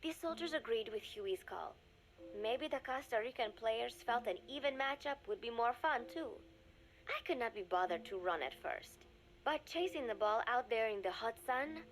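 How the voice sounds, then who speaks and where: An actress voicing an earnest young woman reading her diary aloud in a video game, calm and reflective. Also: on the high side, low-quality recording. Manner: calm, reflective, softly spoken. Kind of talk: game audio diary narration.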